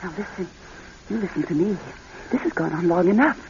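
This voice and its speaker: Anxious, tender wife in muffled radio drama. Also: female voice, lowish voice, animated, a little mellow. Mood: pleading, upset. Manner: pleading, tender, halting. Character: anxious, loving wife of a troubled man. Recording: muffled, hissy old radio recording.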